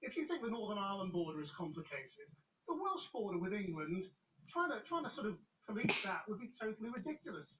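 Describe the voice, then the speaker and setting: A man presenting the news, narrating a report and pressing a guest with brisk, challenging questions. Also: sits high, very animated, a bit bright, far from the mic, slight background noise, rough recording.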